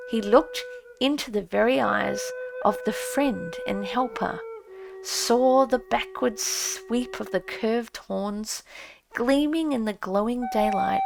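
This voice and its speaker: Slow, high-pitched woman narrating a classic story. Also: gravelly, poor audio. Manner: solemn, expressive. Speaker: audiobook narrator. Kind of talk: dramatic literary story narration. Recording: prominent music under the voice. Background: music and effects.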